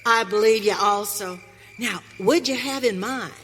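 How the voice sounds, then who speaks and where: A woman's voice: mid-range voice, fairly bright tone, natural voice. A woman playing a feisty pioneer wife in a western radio play, with an American accent and folksy, emphatic frontier speech. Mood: resolute. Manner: folksy frontier dialect, emphatic, drawn-out delivery. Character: Maggie, feisty pioneer wife on a wagon train. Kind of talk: live western radio theater acting. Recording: hall reverb, faint noise.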